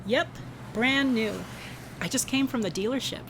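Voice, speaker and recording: A woman acting as a sharp, cool-headed insurance investigator in a contemporary radio drama, casual and dryly confident. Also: on the high side, normal speed, noisy background, close to an everyday voice. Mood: casual, guarded.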